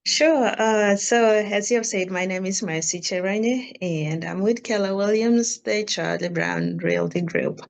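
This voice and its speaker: Slow-spoken woman from Kenya. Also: mid-range voice, creaky. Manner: measured, hesitant with fillers. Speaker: realtor guest. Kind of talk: interview answers.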